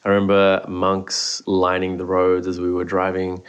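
A man's voice: deep voice, monotone, normal speed, clean tone, lo-fi sound. A man from Sri Lanka, a meditation teacher, talking thoughtfully as a podcast guest and often repeating words as he goes. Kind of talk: relaxed podcast conversation.

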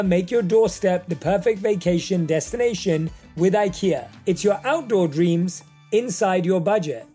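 A man reading a scripted commercial in a smooth, upbeat sales delivery. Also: high voice, mellow. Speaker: ad voice-over.

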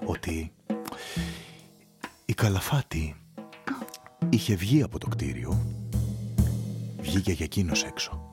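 A man narrating a radio play in a playful, theatrical way, dropping to hushed murmurs and swinging into sung phrases. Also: low-pitched, lively intonation, long pauses. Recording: studio radio play with loud music underneath. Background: music.

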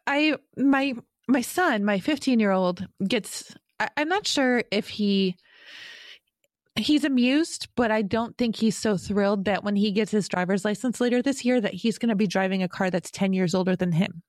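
A woman's voice: mid-range voice, normal speed, no echo, clean studio sound. A woman in her forties from Rochester, New York, hosting a podcast in a chatty, self-deprecating way and laughing often. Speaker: podcast host. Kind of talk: casual podcast chat.

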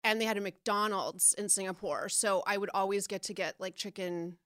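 A woman's voice: mid-range voice, moderate pace, no echo. Chatty woman comedian, close dry mic. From Jakarta, Indonesia. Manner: excited, chatty. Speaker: comedian, guest. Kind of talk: casual chat. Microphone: headphones and microphone.

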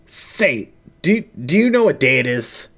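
A man's voice: on the high side, very animated, unhurried, fairly bright tone, pitched above the performer's own voice, heavily acted. A man voicing a nervous, evasive squirrel thief on the run in an audio story. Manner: panicked, evasive.

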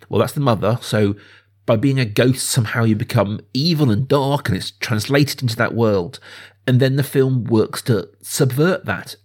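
Smooth-voiced man in his thirties, enthusiastic. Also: medium pitch, darkish tone. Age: 30s. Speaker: film podcast host. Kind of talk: casual film discussion.

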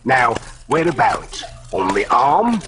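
A man's voice: mid-range voice, sing-song, takes it slowly. A salty, easygoing tattoo artist in a 1940s radio mystery. His voice swings up and down as he gives cagey answers in seaman's slang. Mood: cagey, unhurried. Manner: salty nautical slang, calls people mate. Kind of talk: 1940s radio character acting. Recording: 1940s radio drama recording.

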